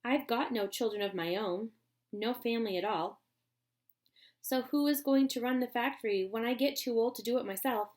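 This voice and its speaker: A woman's voice: fairly high pitch, a little mellow, voice pushed up, natural voice. A woman doing an eccentric old candy-maker man. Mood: eager. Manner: brisk, eager and bossy. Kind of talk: storybook reading with voices.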